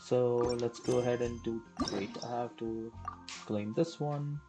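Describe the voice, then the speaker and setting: A woman casually talking through a video game on a live stream, thinking aloud and filling pauses with short remarks. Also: rumbling low. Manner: relaxed, filler-heavy, thinks aloud. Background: game music.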